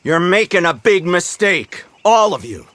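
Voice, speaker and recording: Sharp, haughty American lawman. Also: sits high, big pitch swings, a little slow, dry recording, exaggerated voice. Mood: threatening. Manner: formal, condescending, menacing. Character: stern, haughty Pinkerton detective hunting outlaws. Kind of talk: dramatic video game acting.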